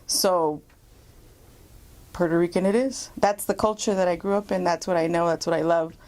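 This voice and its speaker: A woman guest from Mexico, chatting casually in an interview, animated and laughing often. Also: fairly low pitch, moderate pace. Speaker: guest actress.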